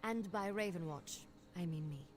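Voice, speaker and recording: An actress voicing a brisk, wry young vampire investigator in a fantasy video game, speaking with conversational confidence. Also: female voice, fairly low pitch, natural voice. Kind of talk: video game character dialogue.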